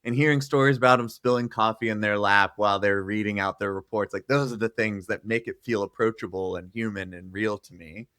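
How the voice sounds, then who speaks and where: A man's voice: fairly low pitch, steady tone, fairly quick, hardly any pauses, fairly bright tone, no room sound, studio quality. A man co-hosting an interview podcast, chatty and enthusiastic as he introduces and questions his guest. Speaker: podcast co-host.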